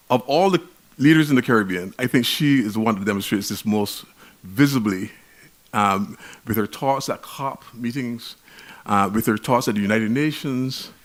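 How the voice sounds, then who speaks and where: A man with a Barbadian accent giving an academic conference lecture in a measured, emphatic way, often restarting his words. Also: medium pitch. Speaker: conference speaker.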